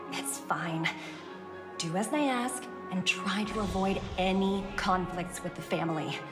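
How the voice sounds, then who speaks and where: A woman voicing a poised, brisk young corporate executive in a video game, composed and businesslike. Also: on the low side. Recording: background music under the voice.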